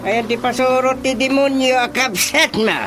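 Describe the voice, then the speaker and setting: Stern, accusing village official. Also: male voice, very high voice, fairly even intonation, normal speed. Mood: scolding. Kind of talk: radio drama acting. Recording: radio drama with music behind.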